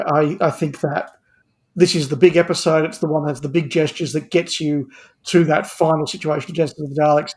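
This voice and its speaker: A man's voice: on the high side, a little mellow, poor audio. A man giving a casual review as a podcast guest, making articulate, thoughtful points at length.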